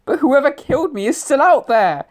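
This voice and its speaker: A woman's voice: high-pitched, lively intonation, moderate pace. A woman voicing a grumbling male ghost. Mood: aggrieved. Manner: indignant, complaining. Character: ghost of a murdered young male writer, aggrieved.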